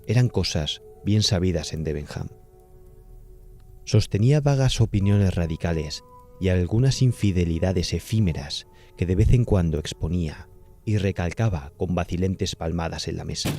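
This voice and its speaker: A man's voice: deep voice, mellow. A man with a Castilian Spanish accent narrating a horror audiobook in a scripted, dramatic storytelling style.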